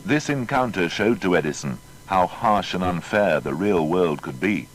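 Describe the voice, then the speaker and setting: A man's voice: animated, moderate pace, poor audio. A man narrating a scripted biographical documentary in a formal, dramatic storytelling manner. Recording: faint noise and low rumble.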